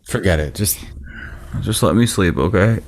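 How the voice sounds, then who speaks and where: Deep-voiced, weary young boyfriend played by an actor. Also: male voice, moderate pace. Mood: defeated, irritable. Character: Franklin, weary, self-doubting young boyfriend, struggling comic. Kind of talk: screenplay table read.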